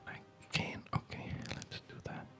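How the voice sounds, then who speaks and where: A person whispering ASMR gameplay commentary, hesitantly thinking aloud as the host of a gaming video. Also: a little slow.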